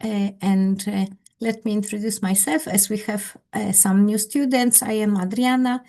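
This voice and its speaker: A woman with a Polish accent, leading an online English conversation class as its teacher, with careful, halting non-native phrasing. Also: medium pitch, steady tone, dark tone. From Poland. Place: indoors on a video call.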